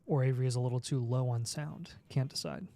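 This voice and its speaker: A young man co-hosting a casual fantasy football stream, joking and teasing his partner through a boom mic. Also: steady tone, fast, gravelly, warm tone. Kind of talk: casual live-stream chat. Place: small studio with neon lights. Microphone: boom mic on arm.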